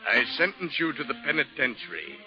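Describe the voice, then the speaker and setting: A man's voice: mid-range voice, sing-song, natural voice. A man playing a grave old-time judge. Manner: slow, grave pronouncement. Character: solemn male judge passing sentence. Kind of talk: 1940s radio acting. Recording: lo-fi old radio with music beneath.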